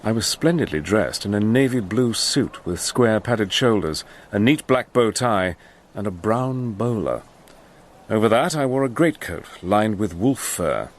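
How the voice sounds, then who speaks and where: An actor playing a resourceful officer who also narrates, brisk and clipped, in a radio drama. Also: male voice, on the low side, very animated. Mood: narrating.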